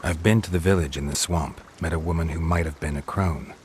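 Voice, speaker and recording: Gruff monster hunter, very low pitch, terse, deadpan, dry wit, fantasy video game. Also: male voice, brisk, slight background noise. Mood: matter-of-fact. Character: gruff, laconic male monster hunter, dryly wry. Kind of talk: video game character dialogue.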